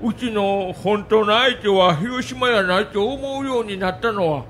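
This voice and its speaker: A man's voice: high voice, measured pace, fairly bright tone, slight background noise. A man playing a confident, blunt baseball player with a Kansai accent in an audio documentary. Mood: reflective, scornful. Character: confident, competitive young male baseball player.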